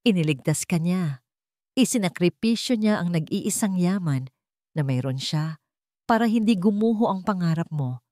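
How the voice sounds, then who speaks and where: A woman's voice: on the low side, lively intonation, normal speed, mellow, no echo, studio quality, natural voice. Earnest, reproachful woman, read by a narrator. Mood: tearful reproach.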